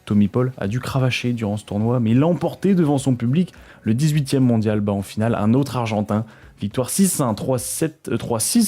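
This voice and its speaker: A man's voice: medium pitch, dark tone. A man from France presenting a weekly sports news roundup in a chatty way, talking straight to his viewers and stumbling over foreign names.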